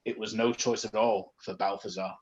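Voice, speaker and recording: A man's voice: fairly low pitch, steady tone, moderate pace, husky, muffled recording. A man doing a live comedic read-aloud of a story, jokey and apt to break off into asides. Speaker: comedy performer reading fan fiction.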